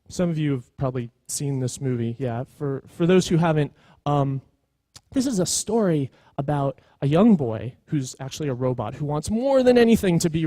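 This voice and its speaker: A man in his thirties speaking at a conference in a conversational way, pausing deliberately for emphasis. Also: a little high, a little mellow, dry recording. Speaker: conference speaker, storytelling professional. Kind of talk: informal conference talk.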